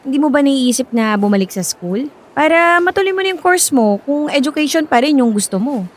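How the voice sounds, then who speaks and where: An actress with a Filipino accent playing a supportive, chatty former classmate in a radio drama, coaxing and reassuring. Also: female voice, high voice, lively intonation, fairly quick. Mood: curious, sympathetic. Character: encouraging, chatty former classmate, a woman working at a school.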